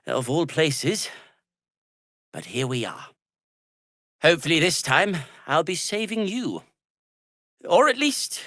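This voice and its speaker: A man's voice: mid-range voice, bright, forceful tone, no echo, studio quality. An actor playing an earnest, weary, kind-hearted gnome man in a video game, wry and loyal, with a hesitant, self-deprecating delivery. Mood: resolved, friendly. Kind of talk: video game character dialogue.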